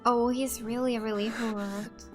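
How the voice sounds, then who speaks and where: A woman from Finland, a native Finnish speaker, giving casual let's play commentary, thinking and reacting out loud as she plays. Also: fairly high pitch, steady tone, a little slow. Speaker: gaming video host. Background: game music.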